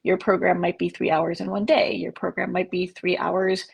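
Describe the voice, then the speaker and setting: A woman with a stuffed-up nose gives a live online training to fellow therapists. Her voice is husky with a gravelly edge, and she talks in a casual, chatty way, full of "like" and "um" and restarted sentences. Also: on the low side, level tone, moderate pace. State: stuffed up from allergies. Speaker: coach for therapists. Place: home, in Oregon.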